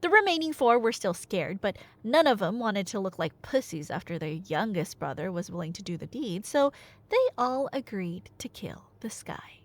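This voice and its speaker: A woman playing a nerdy girlfriend in an ASMR roleplay, telling Greek myths conversationally with playful asides and dramatic pauses. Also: medium pitch, sing-song. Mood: playful rambling.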